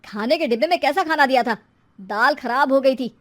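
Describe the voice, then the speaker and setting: A woman's voice: high voice, fairly quick, a bit bright. A woman voicing an angry, scornful middle-aged husband and father in a moral story, scolding harshly.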